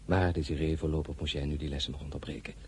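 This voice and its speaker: An actor playing a proud, principled army general in a radio drama, measured, courteous and dryly wary. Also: male voice, extremely low pitch, little pitch movement, a little mellow, husky, exaggerated voice. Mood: fond, reassuring.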